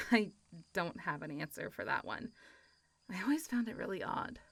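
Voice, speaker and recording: A woman's voice: on the low side, big pitch swings, normal speed, creaky, a bit bright, dry recording, full character voice. A woman playing a friendly, playful centuries-old zombie girl in a roleplay audio, chatting and teasing as if to one listener. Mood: chatty, amused. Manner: chatty, teasing, frequent giggles and sighs, hesitant asides. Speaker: voice actor.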